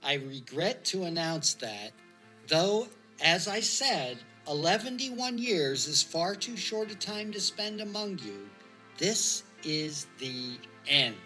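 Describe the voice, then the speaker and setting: A woman playing a jovial, eccentric elderly male hobbit in an audio drama, orating in a grand, deliberate manner. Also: on the low side, very animated, sharp tone, faint music behind, full character voice, poor audio. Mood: wry, formal. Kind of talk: theatrical audio drama speech.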